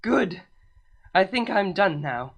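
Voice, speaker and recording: A woman's voice: mid-range voice, big pitch swings, slow, breathy edge, full character voice. A woman voicing a proud, determined elder female pony princess who speaks earnestly.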